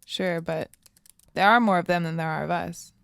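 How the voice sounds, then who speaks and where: A woman's voice: on the low side, normal speed, vocal fry, clean tone, no room sound, clean studio sound. A woman playing a wistful, uneasy young woman who lives off-grid, speaking tentatively and thoughtfully in an audio drama. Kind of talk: naturalistic audio drama dialogue.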